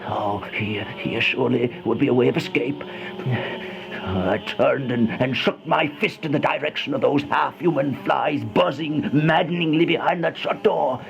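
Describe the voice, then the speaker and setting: An actor playing a terrified, feverish man in an old-time radio horror monologue, stammering, gasping and melodramatic, with a creaky voice. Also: mid-range voice, unhurried, over music. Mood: feverish, paranoid. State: panting, out of breath. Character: terrified, feverish man trapped with monstrous flies, horror narrator. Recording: dull, noisy old radio recording.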